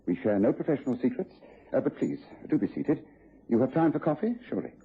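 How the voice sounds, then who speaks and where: An actor playing a precise, coolly analytical English gentleman detective in a radio drama, with a Received Pronunciation accent. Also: mid-range voice, lively intonation, fast talker, slightly rough. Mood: courteous. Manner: precise, incisive, formal. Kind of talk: BBC radio drama acting. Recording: muffled tinny old radio recording.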